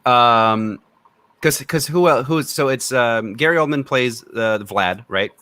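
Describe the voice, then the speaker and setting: A man's voice: mid-range voice, a little slow, sharp tone, dry recording. A man from Romania who co-hosts a film review podcast, talking casually, opinionated and freely swearing.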